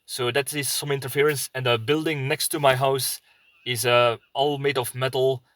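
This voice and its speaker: Male vlogger, Belgian accent, mid-pitched sharp bright voice, casual and hesitant delivery, often searching for words. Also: level tone, moderate pace. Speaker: prepper video blogger. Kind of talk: casual rambling vlog talk. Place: inside a parked car.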